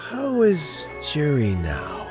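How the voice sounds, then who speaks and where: An actor playing a dignified, thoughtful elderly statesman in a video game, speaking in a measured, formal way with a gravelly voice. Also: a little high, wildly swooping pitch, heavily acted. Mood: wistful, concerned. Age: older. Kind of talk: scripted video game dialogue. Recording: music behind the voice.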